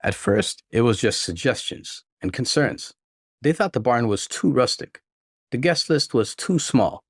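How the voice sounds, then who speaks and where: A man's voice: medium pitch, expressive, a little slow, no room sound, studio quality. A man reading a scripted first-person relationship story as a narrator. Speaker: narrator reading online stories.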